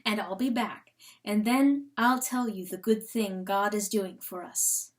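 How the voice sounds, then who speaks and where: A woman voicing a carefree, gentle and delighted female coyote in a dramatic storybook reading. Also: mid-range voice, a little slow, close to an everyday voice. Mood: soothing. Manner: breathless, exultant, laughing.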